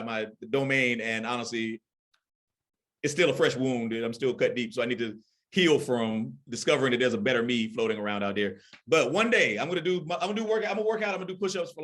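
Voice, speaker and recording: A man's voice: mid-range voice, fairly bright tone. A man performing a casual stand-up comedy set in a conversational, rambling, self-deprecating way.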